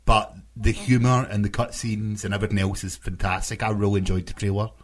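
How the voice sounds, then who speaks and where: A man's voice: on the low side, little pitch movement, brisk. A man co-hosting a podcast, chatting casually. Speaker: podcast co-host. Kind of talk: casual chat.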